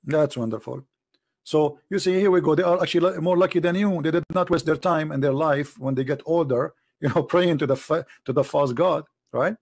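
A man's voice: on the high side, fairly quick, gravelly, a little mellow. A man from the Middle East, a native Arabic speaker, hosting an online chat and talking emphatically in broken English. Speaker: host. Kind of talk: casual debate chat.

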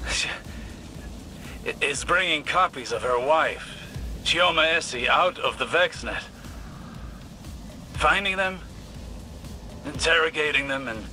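A man playing a solemn, learned older warlock in a video game, measured, grave and deliberate. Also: on the high side, bright, forceful tone, full character voice. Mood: somber. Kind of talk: dramatic video game dialogue. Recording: music playing behind.

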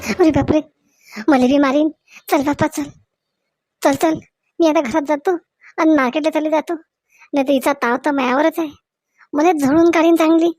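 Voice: high voice, steady tone, normal speed, long pauses, a little mellow, no echo, clean studio sound, natural voice. A performer voicing an anxious village daughter-in-law in a comedy cartoon, with a Varhadi Marathi accent. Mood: worried. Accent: Varhadi Marathi from Vidarbha.

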